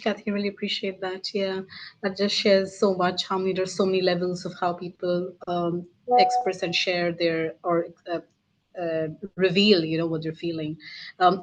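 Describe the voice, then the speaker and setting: A woman's voice: medium pitch, flat delivery, moderate pace, rough recording. A woman moderating an online webinar over a video call, thanking speakers and posing broad, musing questions. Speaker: host.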